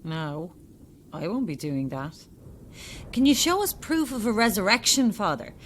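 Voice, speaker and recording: A woman's voice: mid-range voice, very animated, measured pace, a little hiss or hum. A woman acting a sceptical, sardonic Irish journalist in an audio drama, blunt and challenging. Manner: challenging, dry.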